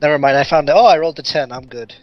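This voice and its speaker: A man's voice: a little high, rapid-fire, lo-fi sound, soft background music. A man playing a tabletop game, chatting casually and swearing freely as he wisecracks. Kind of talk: casual chat.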